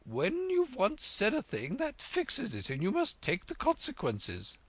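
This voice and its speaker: A storybook reader voicing an imperious, bossy queen who speaks in loud, drawn-out commands. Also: mid-range voice, huge pitch swings, no echo, voice pushed up, full character voice. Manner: commanding, drawn-out emphatic words.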